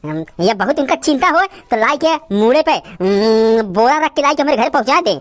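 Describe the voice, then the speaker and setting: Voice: medium pitch, animated, normal speed, lightly acted. A performer voicing a cantankerous, lazy village uncle in an Awadhi comedy cartoon, scolding and rambling with stubborn, abusive bluster. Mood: grumbling. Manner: blustering, scolding, rambling rants full of abuse.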